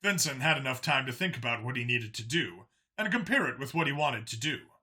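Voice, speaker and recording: A man's voice: mid-range voice, very animated, brisk, pushed and bright. A man reading scripted science fiction narration as an audiobook narrator.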